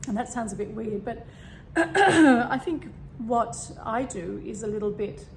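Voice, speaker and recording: A woman with a slightly croaky voice talking casually and meanderingly about spirituality, with many fillers and throat clearing. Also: on the high side, measured pace. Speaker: spiritual vlogger. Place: home room with a fan running. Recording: steady fan hum behind. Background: fan noise.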